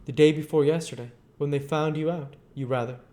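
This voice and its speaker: A man voicing a guarded, educated gentleman in a storybook, speaking in a measured, dry and probing way. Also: on the high side, fairly even intonation, voice pushed up, close to an everyday voice. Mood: cautious.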